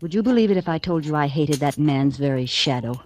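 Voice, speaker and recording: An actress playing a cold, scheming politician's wife in an old radio drama, calculating, insistent and persuasive in the 1940s manner. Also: female voice, low voice, expressive, brisk, a little mellow. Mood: bitter, scornful. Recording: noisy, crackly old radio recording.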